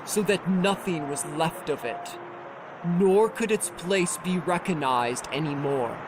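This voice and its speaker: A narrator reading a scripture audiobook in a solemn, measured, formal manner. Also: mid-range voice, background noise. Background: music.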